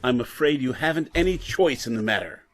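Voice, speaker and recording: A man's voice: mid-range voice, animated, fairly quick, slight background noise, lightly acted. A man playing a proud, indignant scientist in an old-time radio drama, formal and emphatic. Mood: resolute.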